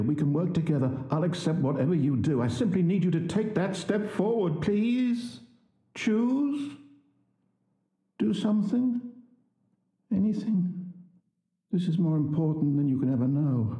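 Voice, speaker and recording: A fussy, self-important British storyteller narrating a video game. His pitch swings dramatically through theatrical, articulate phrases, and the voice has a husky edge. He is wry and needy in equal measure. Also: mellow, heavily acted. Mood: anxious pleading.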